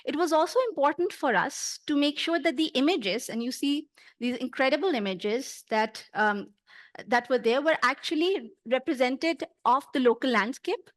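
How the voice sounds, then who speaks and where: A middle-aged woman from Pakistan with a Pakistani English accent and Punjabi as her first language, giving a measured, earnest prepared talk at a conference with occasional fillers. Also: high voice, dry recording, clean studio sound. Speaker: conference speaker. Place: conference hall.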